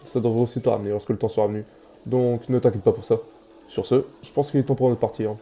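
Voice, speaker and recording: A man playing a weary, cold, nonchalant male monster in a fan comic dub, with a deadpan, casually menacing delivery. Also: medium pitch, fast, soft background music, natural voice. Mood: dismissive.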